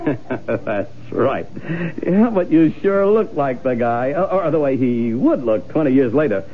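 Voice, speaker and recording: An actor playing a chatty, persistent, somewhat hesitant old schoolmate in a 1970s radio drama. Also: male voice, medium pitch, lively intonation, takes it slowly. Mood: friendly, puzzled. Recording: thin, phone-like vintage radio broadcast.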